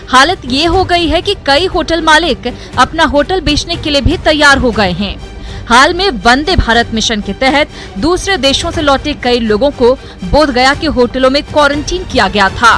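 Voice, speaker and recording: A woman's voice: high-pitched, lively intonation, normal speed, fairly bright tone, faint music behind. A woman reading scripted television news narration as a voice-over presenter.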